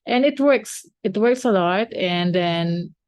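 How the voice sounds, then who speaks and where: A woman's voice: mid-range voice, lively intonation, slow, a little mellow, no room sound, studio quality. A woman teacher and podcast co-host who is not a native English speaker, chatting casually with many fillers and restarts.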